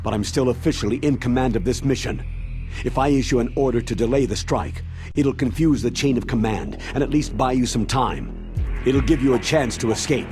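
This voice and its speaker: A man's voice: mid-range voice, fairly quick, a little mellow, natural voice. A man plays a burdened military commander speaking over a radio link in a game cutscene. He sounds earnest and apologetic. Music runs beneath him.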